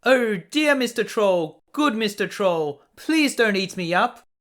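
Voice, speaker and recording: A middle-sized storybook billy goat acted by a man, nervous and polite. The voice sits raised and lively as it pleads anxiously. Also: high-pitched, slow, voice pushed up, exaggerated voice. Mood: anxious pleading.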